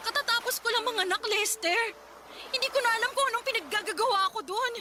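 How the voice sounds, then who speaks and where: A woman's voice: very high-pitched, quick pace, very noisy. An actress playing a worried young mother and wife, talking in a plaintive, pleading way. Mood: upset. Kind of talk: radio drama acting. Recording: voice over drama music.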